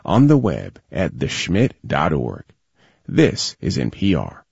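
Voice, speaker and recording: A man's voice: very deep voice, wildly swooping pitch, slow pace, creaky, dull audio. A man reading a scripted sponsorship credit as a radio announcer.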